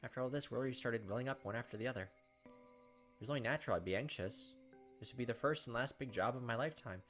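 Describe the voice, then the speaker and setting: A man's voice: mid-range voice, quick pace, a bit bright. Man reading a visual novel over music. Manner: dramatic first-person reading, casual asides. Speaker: gaming video host. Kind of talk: visual novel read-aloud with casual commentary. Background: game music.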